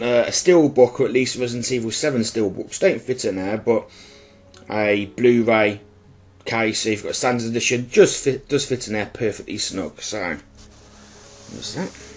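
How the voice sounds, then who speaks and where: Congested man, casual video commentary. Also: mid-range voice. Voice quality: slightly congested, bunged up. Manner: informal, apologetic, rambling. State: stuffy with a blocked nose. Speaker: YouTube collector presenting his own videos. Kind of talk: casual unboxing-style product commentary.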